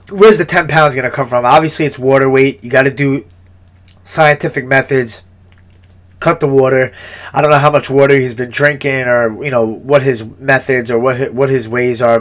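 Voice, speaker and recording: A man's voice: on the high side, a bit bright. A man who is a fighter giving a casual, rambling fight breakdown, with a congested, nasal voice and frequent sniffling. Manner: rambling, repeats words, swears casually.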